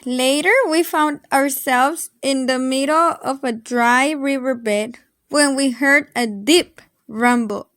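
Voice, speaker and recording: A woman reading a children's story aloud in an expressive, dramatic storytelling manner. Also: sits high, slow, no room sound, studio quality. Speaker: storybook reader.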